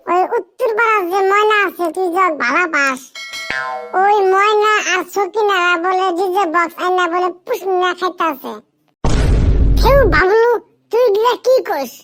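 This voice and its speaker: A man voicing a cheeky, rowdy young village lad in a comedy cartoon, with a northern Bengali village dialect and a squeaky, pitch-shifted voice. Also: sharp tone, a little hiss or hum, full character voice. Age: young adult. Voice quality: squeaky, artificially high.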